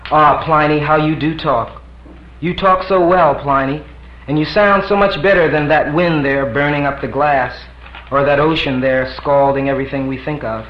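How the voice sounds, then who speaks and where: A man's voice: on the high side, steady tone, moderate pace, voice pushed up, lightly acted. A man voicing a doting wife who speaks admiringly to her husband, in a roomy, dull live recording. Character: doting adoring wife, woman.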